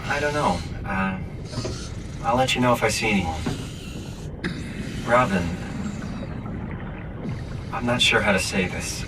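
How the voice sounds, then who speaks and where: A man's voice: fairly low pitch, lively intonation, slow, pauses often, background noise. A man playing a bewildered, hesitant young man in a sci-fi video game, asking questions. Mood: careful. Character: bewildered ordinary young man in a synthetic body. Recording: loud machinery and ambience behind voice.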